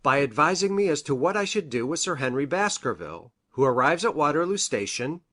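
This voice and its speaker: A woman's voice: low voice, very animated, a little fast, a bit bright, exaggerated voice. A woman voicing a grave, hesitant male country doctor in a storybook reading, formal and precise in manner. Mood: formal, earnest. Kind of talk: audiobook character reading.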